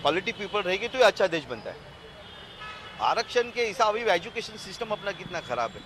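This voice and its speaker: A man's voice: sits high, very animated, brisk, background noise. A man giving a hesitant but emphatic opinion in a street interview outdoors.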